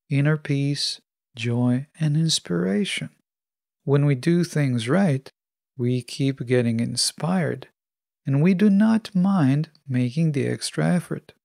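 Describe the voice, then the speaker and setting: A man hosting a podcast, delivering a scripted motivational monologue with measured, deliberate phrasing. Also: a little high, vocal fry, a little mellow, no room sound, clean studio sound. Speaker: podcast host.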